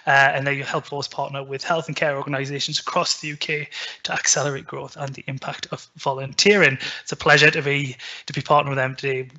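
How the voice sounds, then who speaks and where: A Geordie man in his thirties talks through a webinar over a video call. His voice sits a little high and rings bright and forward. He speaks in a chatty, loose way, with plenty of ums and restarts. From Newcastle, England. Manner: chatty, frequent ums and self-corrections. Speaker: webinar presenter. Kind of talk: presenting a webinar. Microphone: video call webcam.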